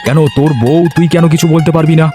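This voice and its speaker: Man playing concerned village big brother, brisk, admonishing, emphatic, cartoon dialogue. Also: fairly high pitch, fairly even intonation, mellow, soft background music, natural voice. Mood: reproving.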